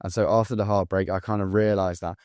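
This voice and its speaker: A man in his twenties from England with a southern English accent, talking straight to camera as a sales coach in a blunt, profane, hyped-up motivational pitch. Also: little pitch movement, fast, darkish tone, clear, resonant tone, dry recording, studio quality. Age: 20s. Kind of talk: direct-to-camera pitch video.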